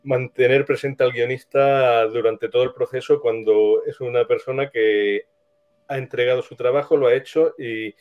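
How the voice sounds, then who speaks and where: A man from the screenwriting trade talks through his answers. He is wordy and hesitant, pausing to think, at a medium pitch. The recording is slightly dull and lo-fi. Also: steady tone. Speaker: screenwriter being interviewed. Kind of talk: casual interview answers. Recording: dull, lo-fi sound.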